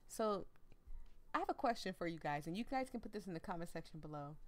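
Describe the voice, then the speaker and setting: A woman's voice: fairly low pitch, animated, quick pace. A woman hosting a let's play, chatting casually and directly to her viewers. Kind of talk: casual commentary and reading a visual novel aloud.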